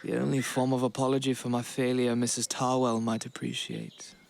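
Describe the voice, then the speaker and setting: Man playing a gravelly, reserved frontier doctor. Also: medium pitch, little pitch movement, moderate pace, slight background noise, lightly acted. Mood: rueful, subdued. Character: rueful, reserved Old West town doctor. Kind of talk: Western audio drama acting.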